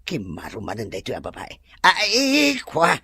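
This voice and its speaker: A man's voice: medium pitch, wildly swooping pitch, normal speed, sharp tone, breathy edge, dry recording, exaggerated voice. A man playing a plain-spoken, grumbling but loyal resort worker in an Ilocano audio drama. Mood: flustered.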